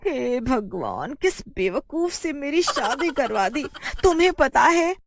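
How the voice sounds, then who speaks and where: A woman's voice: high voice, very animated, takes it slowly, gravelly, a little hiss or hum, voice pushed up, exaggerated voice. A woman voicing a sarcastic, exasperated village wife who scolds her foolish husband in a cartoon moral story. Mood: shocked exasperation.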